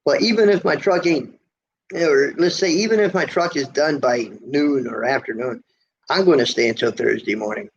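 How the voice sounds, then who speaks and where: Gravelly, squeaky-voiced trucker rambling on a livestream. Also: male voice, moderate pace. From United States. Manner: rambling, folksy, with many fillers and restarts. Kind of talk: casual live chat reading viewer comments. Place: motel room. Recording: lo-fi livestream audio, faint noise.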